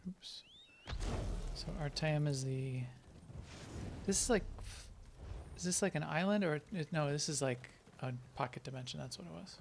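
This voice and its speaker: A man talks casually through a live game stream, answering questions from viewers as he plays. His voice is strongly gravelly and sits a little high. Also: moderate pace, pauses often, slight background noise. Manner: chatty, answers viewers while playing.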